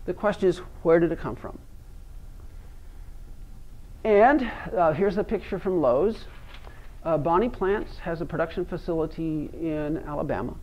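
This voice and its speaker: Higher-voiced male lecturer, dull recording. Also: mellow. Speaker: university professor of plant pathology. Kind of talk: academic seminar lecture. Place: seminar room, speaking to an audience.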